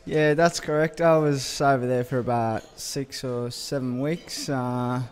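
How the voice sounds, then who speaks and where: A young man from Sudan answering interview questions about football training, pausing often with fillers. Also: mid-range voice, fairly even intonation, darkish tone, smooth. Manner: hesitant, many uh fillers and restarts.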